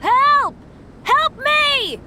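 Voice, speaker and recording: A woman's voice: very high-pitched, lively intonation, very slow, loud music behind the voice, full character voice. A woman voicing an earnest, apologetic boy adventurer in a video game, with a youthful, boyish voice. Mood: desperate. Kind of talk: video game character dialogue.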